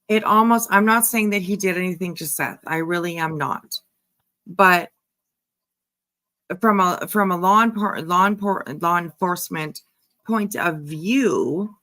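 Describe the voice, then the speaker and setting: A woman host giving casual reaction commentary, musing in a halting, repetitive, emphatic way. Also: mid-range voice, fairly bright tone. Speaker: host giving commentary on a true-crime case.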